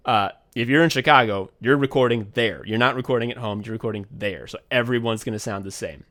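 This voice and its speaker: A man's voice: medium pitch, fast, fairly bright tone. A man co-hosting a podcast, chatting casually and telling rambling, profane behind-the-scenes stories with lots of restarts. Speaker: podcast co-host.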